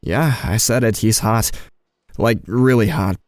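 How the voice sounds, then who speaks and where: Narrator lead: anxious, withdrawn teenage boy, male actor, lower register, dry recording, audio drama. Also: a little slow, a little mellow, no room sound, lightly acted. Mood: smitten, self-deprecating.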